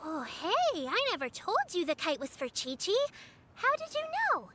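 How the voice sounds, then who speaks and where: A woman's voice: very high-pitched, huge pitch swings, unhurried, sharp tone, exaggerated voice. A woman voicing a sweet, earnest little girl in a game cutscene, with a light childlike voice and eager, polite manner. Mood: curious, delighted. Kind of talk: video game cutscene acting. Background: music.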